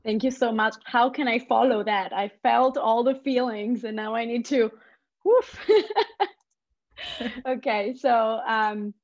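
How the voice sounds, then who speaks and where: Slow Italian-accented woman on dull, dry audio. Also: a little high, fairly even intonation. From Italy. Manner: slow, careful, gentle reading. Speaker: project coordinator reading a poem. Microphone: video call.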